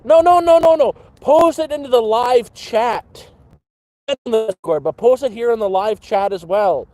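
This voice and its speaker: A man's voice: very high-pitched, moderate pace, mellow. A man in his thirties chatting casually to his livestream chat outdoors by a campfire, rambling and swearing freely. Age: 30s. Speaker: livestream host.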